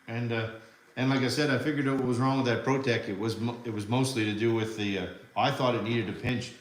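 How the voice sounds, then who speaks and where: A man's voice: mid-range voice, steady tone, fairly quick, roomy sound, poor audio. A man with a Canadian accent, hosting a casual live stream from his RC hobby workshop and rambling to his viewers with frequent restarts. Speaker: livestream host.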